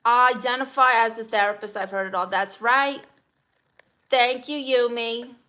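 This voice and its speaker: Bright-voiced Brooklyn woman chatting on a livestream. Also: fairly high pitch, normal speed. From Brooklyn, New York, USA. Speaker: livestream host. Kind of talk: casual livestream chat, greeting viewers and reading comments.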